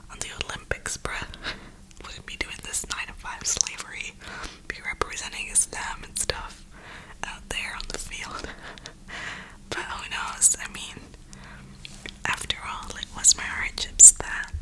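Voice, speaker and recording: A young woman rambling casually in a soft, breathy ASMR whisper, with informal, slangy phrasing. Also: poor audio. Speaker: ASMR gaming streamer.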